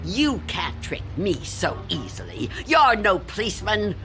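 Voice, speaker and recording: An actress playing a frightened, suspicious old recluse in a radio drama, distrustful and pleading. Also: female voice, mid-range voice, huge pitch swings, measured pace, a bit bright, soft background music. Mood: accusing.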